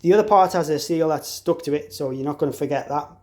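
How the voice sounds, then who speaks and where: A man's voice: a little high, fast. A man giving an informal, explanatory hands-on product tutorial as an installer. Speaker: installer demonstrating an intercom product.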